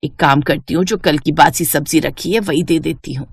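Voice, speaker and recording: Lady putting on a stingy neighbour aunty for a cartoon, low n wheedling. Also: normal speed, vocal fry, breathy edge, no echo, clean studio sound, full character voice. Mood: scheming.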